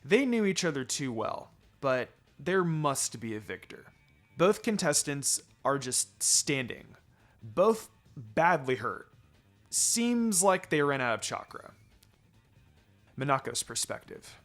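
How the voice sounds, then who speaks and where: Male story reader, dramatic and theatrical, highly varied intonation, faint music bed. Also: big pitch swings, slow, fairly bright tone. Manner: theatrical, acts out dialogue. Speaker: fan fiction reader. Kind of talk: dramatic scripted narration of a story. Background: background music.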